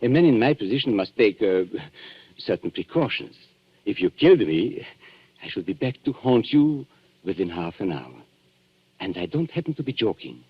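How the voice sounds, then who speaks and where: A suave villain of a 1940s radio thriller, who swoops up and down in pitch as he mocks his victims with elaborate courtesy. The recording is old and muffled. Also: very animated, normal speed. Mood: smug. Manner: smooth, mocking, theatrically polite. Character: suave, sneering male blackmailer posing as antique dealer.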